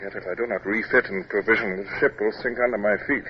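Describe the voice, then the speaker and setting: A low, formal naval captain, played by a male radio actor in 1950s drama style. He is stern but humane, with clipped phrasing. The old recording is muffled and clearly noisy. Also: fairly even intonation, fast, close to an everyday voice. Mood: composed, formal. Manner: formal, clipped, hesitant when moved. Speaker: lead character and narrator.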